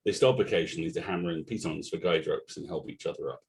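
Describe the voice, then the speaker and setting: A man's voice: deep voice, fast, gravelly, a little mellow. A man reading stage directions as scripted narration at an audio drama table read.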